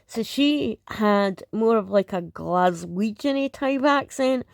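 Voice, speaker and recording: A woman's voice: medium pitch, animated, takes it slowly, gravelly, no echo, clean studio sound. A woman with an Edinburgh Scottish accent, chatting casually while reacting to a video, rambling with lots of fillers. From Scotland. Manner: rambling, many fillers and restarts.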